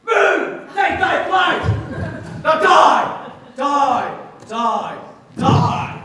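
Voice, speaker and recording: A man's voice: very high-pitched, sing-song, drawn-out words, distant mic, crowd noise, heavily acted. A man playing a hammy amateur actor as a dying lover, bellowing melodramatically in theatrical Shakespearean declamation. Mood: melodramatic dying. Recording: echoey hall from afar, audience noise.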